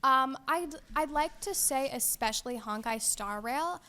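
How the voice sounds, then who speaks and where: A young woman co-hosting a casual gaming podcast, excitable and giggly, with lots of fillers. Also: high voice, a little slow. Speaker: podcast co-host.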